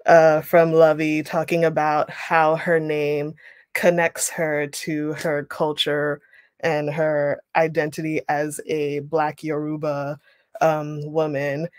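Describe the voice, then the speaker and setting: A young woman leading an online writing workshop, reading a passage aloud and then explaining it with relaxed pauses over a video call. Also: deep voice, no room sound. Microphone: webcam on a video call.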